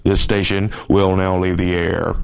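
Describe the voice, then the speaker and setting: A man's voice: low-pitched, little pitch movement, unhurried, vocal fry, clear, resonant tone. A man reading a scripted emergency alert in a formal, official mid-century radio announcer style.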